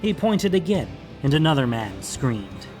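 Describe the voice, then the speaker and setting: Casting: male audiobook narrator, medium pitch, dramatic scripted fantasy reading with soft music behind. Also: sing-song, measured pace.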